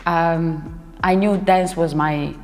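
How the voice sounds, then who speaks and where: A young woman, a dancer and choreographer, chatting as an interview guest and often pausing to search for words. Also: lowish voice, steady tone, darkish tone. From Italy. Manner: searching for words, restarts sentences, laughs often. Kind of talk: casual interview talk. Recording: hall reverb with faint music behind.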